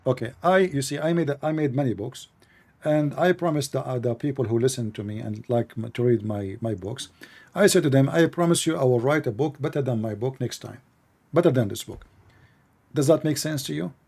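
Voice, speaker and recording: A man's voice: mid-range voice, a little fast, vocal fry, darkish tone. A man whose first language is Arabic, hosting a live call-in debate, arguing with callers, repeating himself and cutting in. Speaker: debate show host.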